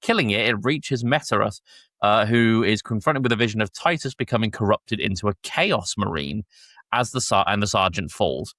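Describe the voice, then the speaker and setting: A man's voice: fairly low pitch, animated, normal speed, fairly bright tone, dry recording, studio quality. A man hosting a podcast, speaking casually as he chats and reads out summaries. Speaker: podcast host. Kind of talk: casual chat and reading episode summaries aloud.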